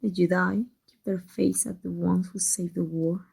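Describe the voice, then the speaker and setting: A woman playing an embittered villain secretly in love with a rival, in an intense ASMR roleplay monologue, husky and breathy, often dropping to a whisper. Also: on the low side, little pitch movement, normal speed, a little mellow, exaggerated voice, poor audio. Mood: bitter anger.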